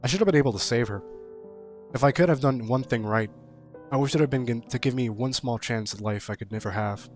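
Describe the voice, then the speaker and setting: A despairing, self-loathing man from a horror story, acted by a male reader, speaks with bitter drama. His pitch is middling and music plays faintly behind. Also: brisk, lightly acted. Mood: despairing regret.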